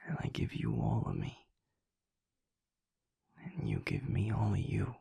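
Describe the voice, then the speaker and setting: A man playing a tender, earnest werewolf boyfriend in an intimate audio roleplay, speaking softly and haltingly with a breathy, gravelly voice. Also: on the low side, huge pitch swings, no echo, in a whisper, heavily acted. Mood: devoted, heartfelt. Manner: hushed, halting, heartfelt, soft-spoken.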